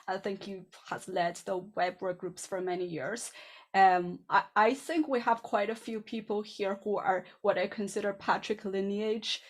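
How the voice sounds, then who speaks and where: A woman introducing herself as a board candidate at an online meeting, speaking with enthusiasm and frequent fillers. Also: medium pitch.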